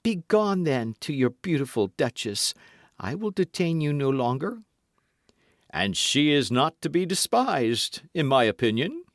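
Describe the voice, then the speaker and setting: A man's voice: on the high side, big pitch swings, a little slow, dry recording, clean studio sound, voice pushed up. A man reading an audiobook, voicing a boastful, scheming musketeer in a raised, grand, wheedling voice. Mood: lofty. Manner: grand, wheedling, mock-melancholy.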